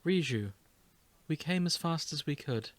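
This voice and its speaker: A man's voice: fairly high pitch, lively intonation, measured pace, no echo. Man reading as earnest, capable young princess. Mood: earnest, purposeful.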